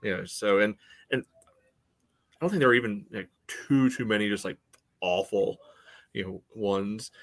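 A man in a casual sports discussion as a podcast co-host, speaking haltingly and often saying "you know". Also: on the low side, dry recording.

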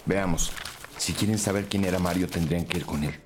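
An actor playing a serious investigator briefing colleagues in a crime audio drama. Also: male voice, sits low, fairly quick, a little hiss or hum, close to an everyday voice. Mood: serious, matter-of-fact.

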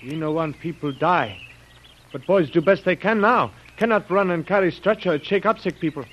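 A man's voice: high-pitched, moderate pace. An actor playing an obliging, deferential native bearer foreman who speaks broken English in short clipped phrases, in old radio adventure style. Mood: deferential, earnest. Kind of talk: 1930s radio adventure acting. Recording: dull lo-fi old radio recording.

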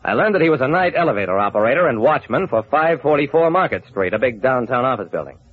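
A shrewd, hard-boiled detective from vintage radio. He sounds husky and confident, with lively, wry delivery, on a muffled narrow-band recording. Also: medium pitch, fast talker, no room sound. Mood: wry, brooding. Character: shrewd, hard-boiled male investigator telling his case. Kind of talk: old-time radio detective narration and acting.

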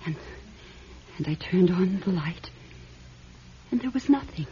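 An actress playing a fragile, grieving widow in classic radio drama, hesitant and haunted, turning insistent. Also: female voice, lowish voice, lively intonation, measured pace, warm tone. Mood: haunted, fearful. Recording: dull, noisy old radio recording.

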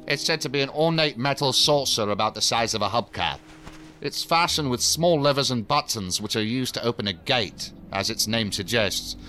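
A jaded male professor in a sci-fi audio drama. He lectures in wordy, condescending sentences with dry sarcasm. His pitch sits in the middle range, with faint music behind him. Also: animated, moderate pace, sharp tone. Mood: dry, amused. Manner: pompous, wordy, sardonic. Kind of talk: science fiction audio drama acting.

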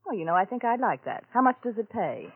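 An actress playing a cool, mocking American blackmailer, a scorned wife in a 1940s radio drama. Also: female voice, a little high, big pitch swings, fast, husky, exaggerated voice. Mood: sardonic, bargaining. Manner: mocking, drawling endearments. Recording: tinny old radio broadcast.

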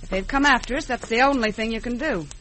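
A woman's voice: on the high side, fast talker, bright, forceful tone. An actress playing a commanding, scheming woman counterfeiter in an old-time radio western. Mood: bossy, scheming. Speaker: print shop owner in a western drama. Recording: noisy vintage radio recording.

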